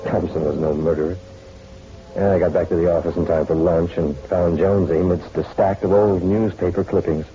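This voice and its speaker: A very low, mellow-voiced male private eye played by an actor, dry and wry in the hardboiled radio-drama manner. His delivery is clipped and matter-of-fact. The recording is dull, with faint music behind it. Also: fairly even intonation, fairly quick, close to an everyday voice. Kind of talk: hardboiled detective radio drama, narration and dialogue.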